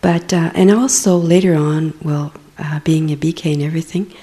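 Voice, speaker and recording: A woman's voice: low voice, fairly even intonation, normal speed, warm tone. A woman introducing herself in a group, talking in a hesitant, chatty way. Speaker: participant.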